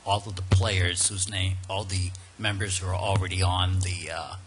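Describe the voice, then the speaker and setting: A man answers questions from a town board in a polite, earnest way, with some pauses and fillers. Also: deep voice, flat delivery, noisy background. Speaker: applicant at a board interview. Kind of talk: answering questions at a public meeting. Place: town board meeting room.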